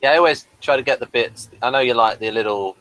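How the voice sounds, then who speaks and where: A man's voice: medium pitch, quick pace, fairly bright tone, dry recording, rough recording. A man chatting casually as co-host of a review show.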